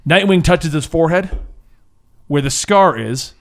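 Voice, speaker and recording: A man's voice: on the high side, lively intonation, measured pace, fairly bright tone. A man acting as game master, narrating a scripted superhero campaign recap with casual banter.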